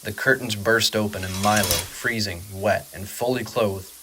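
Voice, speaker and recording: A man narrating a horror audio drama with measured, dramatic, scripted storytelling. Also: lowish voice, noisy background.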